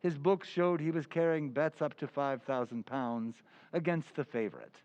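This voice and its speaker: A man playing an earnest, methodical police inspector in an old-time radio drama, giving formal, deliberate reports. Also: on the high side, a little mellow, no room sound, dull audio, natural voice. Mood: regretful, reporting. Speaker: community theatre actor. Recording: clear background noise.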